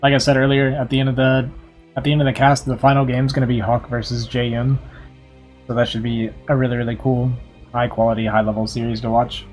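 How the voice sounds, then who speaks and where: A man streaming and co-casting esports, chatting casually while losing his voice and clearing his throat often. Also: mid-range voice, level tone, a little fast, a little mellow, soft background music. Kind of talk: casual chat.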